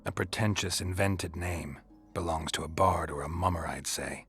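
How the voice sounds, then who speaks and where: A very deep-voiced, gruff monster hunter from a fantasy game. He is dry and skeptical, speaking in a gravelly deadpan. Music plays clearly behind him. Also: moderate pace. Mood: dry, wary. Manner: deadpan, sardonic. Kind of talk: video game voice acting.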